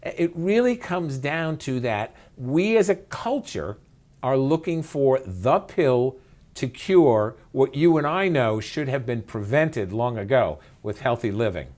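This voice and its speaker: A man's voice: medium pitch, big pitch swings, a little slow, a little mellow. A man speaking as an expert interview guest, emphatic and conversational, with rhetorical questions and folksy asides. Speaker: physician and author, interview guest.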